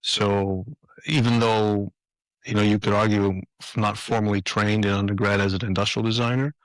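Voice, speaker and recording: A man in his fifties from Greece talks as a guest on a podcast, reflective and pausing to restart his sentences. His voice sits low, and the recording is dry and close. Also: flat delivery, no echo, low-quality recording. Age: 50s. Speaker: guest, design leader. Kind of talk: interview answers. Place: apartment.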